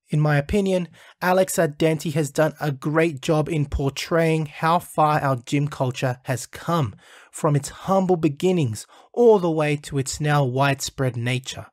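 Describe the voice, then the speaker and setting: A man's voice: on the high side, no echo, clean studio sound. A man hosting his channel, reading an earnest, praising voice-over review of a documentary. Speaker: channel host reviewing a film.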